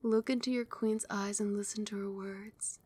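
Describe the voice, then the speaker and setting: ASMR casting: a young woman as a tender, possessive vampire queen, soft soothing whisper, close mic. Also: mid-range voice, fairly even intonation, moderate pace, breathy edge, lightly acted. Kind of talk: ASMR roleplay.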